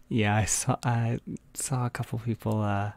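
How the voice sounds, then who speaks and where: An American man hosting a casual livestream, talking softly and hesitantly into a close microphone, a little short on sleep. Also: lowish voice, level tone, a little slow, vocal fry, no room sound. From United States. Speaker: livestream host.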